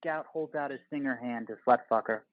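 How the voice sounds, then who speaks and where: A male narrator with a medium-pitched voice reads action lines for an audio drama. His phrasing is clipped, deliberate and dramatic, close and dry, with a slightly dull sound. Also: no room sound. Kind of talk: scripted narration of screenplay directions.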